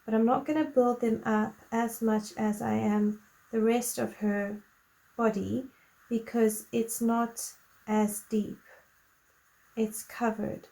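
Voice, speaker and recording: A woman with a croaky, gravelly voice talks slowly and gently while she colors at her desk. Her tone is mellow and fairly high, and a lingering cough roughens it. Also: rough recording. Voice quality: slow, soft-spoken and gentle. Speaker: coloring tutorial host. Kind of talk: relaxed chatty tutorial.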